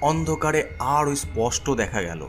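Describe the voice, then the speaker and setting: A man's voice: on the high side, sing-song, moderate pace, loud music behind the voice. A man narrating a horror story in the first person in a dramatic, suspenseful, theatrical way.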